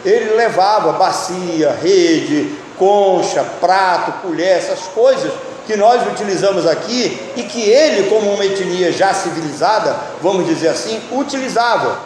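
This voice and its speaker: A man's voice: high voice, lively intonation, unhurried, hardly any pauses, a little mellow, a little hiss or hum. A man preaching a teaching sermon, often asking the listeners questions. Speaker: pastor and Bible teacher. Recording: echoey hall with long reverb.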